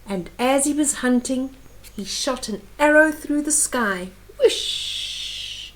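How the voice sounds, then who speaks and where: An older woman retelling a picture book to young children as a storyteller, in short expressive phrases that speak directly to the listener. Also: high voice, unhurried, rough recording.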